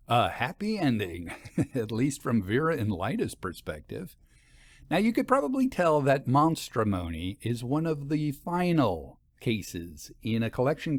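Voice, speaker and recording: Male author-host. Mid-range, animated, conversational scripted narration, dry studio-like sound. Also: medium pitch, no room sound.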